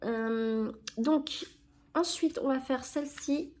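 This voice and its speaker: A woman's voice: high voice, slow, a little mellow. A young woman with a cold, chatting casually and correcting herself with many fillers as she sticks stickers into an album. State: has a cold. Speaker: YouTuber opening sticker packs.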